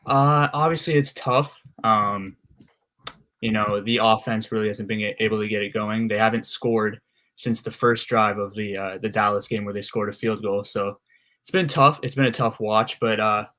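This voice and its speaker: A man from Canada, a guest co-host giving casual sports analysis in a steady, measured way with frequent "uh"s. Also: fairly low pitch.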